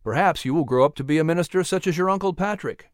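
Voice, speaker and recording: A man voicing a gentle, earnest colonial preacher who speaks in a calm, measured, persuasive way. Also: on the high side, no room sound, clean studio sound, pitched above the performer's own voice, close to an everyday voice.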